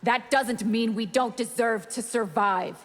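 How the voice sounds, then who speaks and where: A woman's voice: fairly high pitch, a little slow, noisy background, close to an everyday voice. An actress playing a defiant, predatory vampire in a fighting game, unrepentant, her voice in a reverberant space. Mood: defiant, menacing. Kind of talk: scripted fighting game dialogue.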